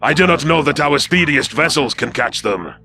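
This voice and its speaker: A man's voice: a little high, animated, moderate pace, bright, forceful tone, voice pushed up. A man voicing a cautious alien warship subordinate who speaks formally and hesitantly to his superior. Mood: respectful, doubtful. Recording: music behind the voice.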